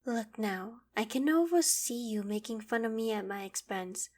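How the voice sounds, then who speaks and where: Woman acting a breathy, gentle young queen. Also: on the high side, lively intonation, normal speed. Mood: strained politeness. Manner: soft, breathy, dropping into whispered asides. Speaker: voice actor in audio roleplay. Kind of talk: scripted ASMR roleplay acting.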